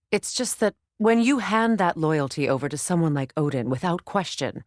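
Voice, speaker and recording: A woman playing a proud, wounded warrior goddess and queen in a video game, measured, regal and dry. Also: deep voice, dry recording. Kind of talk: dramatic video game voice acting.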